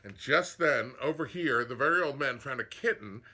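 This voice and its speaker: Guy reading a kids picture book out loud, super animated, bright voice, little asides. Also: medium pitch, moderate pace. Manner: dramatic, with asides to listeners. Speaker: storyteller.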